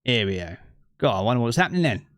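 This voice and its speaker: A man's voice: mid-range voice, sing-song, fast, no echo. Easygoing man from southeast England, dry sound. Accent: southeast English. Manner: chatty and easygoing, thinks aloud with many fillers. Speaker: game streamer. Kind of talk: casual live-stream chat, reading chat aloud. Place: home living room. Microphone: streaming mic with effects.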